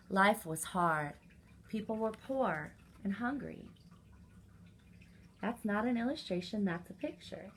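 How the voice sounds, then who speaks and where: Bright-voiced woman hosting children's story time. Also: mid-range voice, slight background noise, low-quality recording. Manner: animated, addresses children directly as friends. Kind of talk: children's story time read-aloud and chat.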